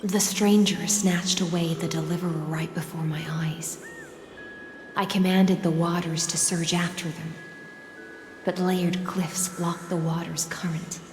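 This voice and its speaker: An actress playing an ancient lady guardian of the sea in a fantasy game, with a measured, solemn, poetic delivery. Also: on the low side, little pitch movement, echoey room, close to an everyday voice. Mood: grave. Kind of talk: dramatic scripted game voice acting. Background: music.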